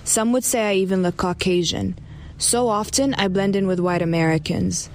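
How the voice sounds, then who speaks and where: A woman raised in Dubai, reading a personal essay in a steady, reflective way. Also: medium pitch, moderate pace, a little hiss or hum. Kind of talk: personal essay read aloud.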